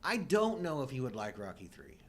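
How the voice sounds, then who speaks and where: Lively middle-aged man, casual co-host. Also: medium pitch, fairly quick. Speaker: co-host of a live show. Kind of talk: casual chat about films. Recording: faint background noise.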